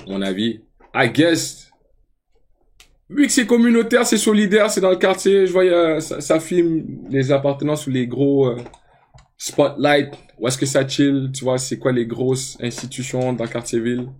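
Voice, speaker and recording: A young man with a Quebec French accent, commenting casually on a live stream and switching into English slang with animated outbursts. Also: medium pitch, measured pace. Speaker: streamer reacting to rap videos.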